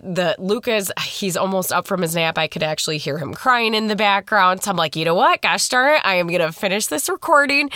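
A woman in her thirties hosting a podcast in casual chat, upbeat and chatty, a little hoarse as she gets over a head cold, recorded in a small closet at home. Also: mid-range voice, a bit bright, no echo, clean studio sound. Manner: chatty and upbeat, many fillers. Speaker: podcast host.

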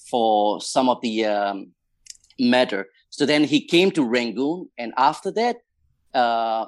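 A man from Myanmar with a Burmese accent, recounting life and history as an interview guest in halting, conversational English phrasing. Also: mid-range voice, no room sound. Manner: hesitant, halting non-native English phrasing. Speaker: interview guest, community leader.

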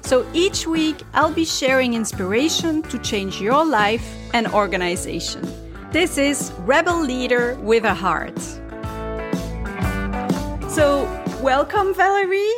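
A woman's voice: high-pitched, expressive, slow, music in the background. A woman hosting a podcast, reading a polished, upbeat scripted intro and then asking interview questions directly. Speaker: podcast host.

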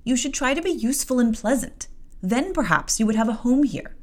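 A strict household servant, her voice pitched up and pushed louder as she lectures a child, put on by a woman reading a classic novel aloud. Firm, scolding delivery, pitch swooping widely between phrases. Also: animated, a little fast. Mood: lecturing. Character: stern adult female servant scolding a girl.

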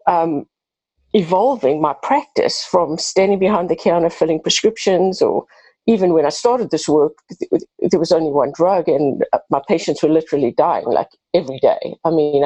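South african lady pharmacist, 50s, low mellow voice, kinda husky, talks w/ passion, dry sound. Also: moderate pace, no echo, low-quality recording. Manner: earnest, passionate, with restarts. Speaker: pharmacist and podcast host. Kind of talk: interview answers.

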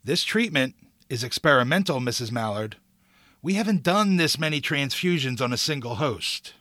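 A man's voice: medium pitch, lively intonation, moderate pace, bright, forceful tone, dry recording, studio quality. A man playing a smarmy sweet-talking doctor. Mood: calm, clinical. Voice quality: smooth, ingratiating. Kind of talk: audio drama acting.

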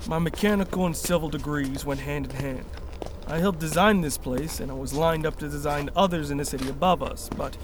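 A man playing a calm, serious engineer and protective survivor in a sci-fi radio drama, explaining things steadily. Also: a little high, a little fast, background noise, lightly acted. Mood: calm, explanatory.

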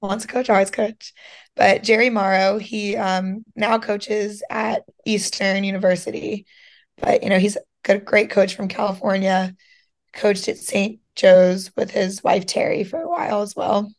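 Slightly hoarse young woman, chatty. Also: mid-range voice, flat delivery, unhurried. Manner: chatty, lots of "like" and "you know" fillers. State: slightly hoarse, losing her voice. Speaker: guest, professional softball player. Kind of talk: casual interview chat.

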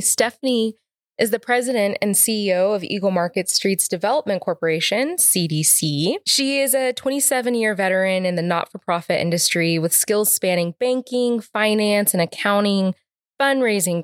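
A woman's voice: medium pitch, moderate pace, no echo, studio quality. A woman co-hosting a talk podcast, introducing guests and responding in conversation. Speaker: podcast co-host.

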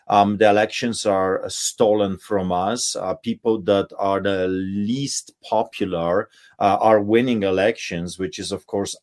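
A man's voice: lowish voice, a little mellow. A middle-aged man from Poland with a Polish accent, a pastor speaking emphatically as a guest over a video call, with many fillers and restarts. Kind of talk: impassioned interview talk.

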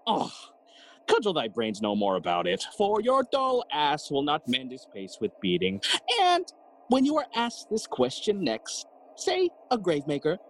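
An actor playing a jolly, cheeky gravedigger who riddles and teases in a theatrical bilingual Spanish-English Shakespeare audio play. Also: male voice, a little high, huge pitch swings, unhurried, full character voice. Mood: boisterous, mocking. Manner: teasing, sighing and chuckling, theatrical.

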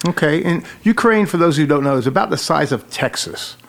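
Gravelly-voiced guy hosting a podcast, friendly and folksy, kinda higher voice for a man. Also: expressive, brisk. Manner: friendly, folksy, prompting questions. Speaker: podcast host. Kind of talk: casual interview. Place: podcast studio.

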